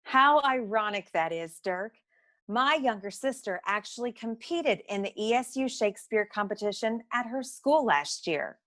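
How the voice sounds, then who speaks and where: A woman's voice: a little high, lively intonation, normal speed, a bit bright. An actress playing a poised, witty woman who works beside a noir detective, speaking in an arch, formal, articulate way in a comic radio play. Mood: arch, warm.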